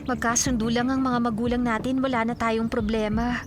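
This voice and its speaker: A woman playing a concerned, sympathetic young daughter in a Filipino radio drama, speaking gently. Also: a little high, little pitch movement, fairly quick, loud music behind the voice, natural voice. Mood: concerned, caring.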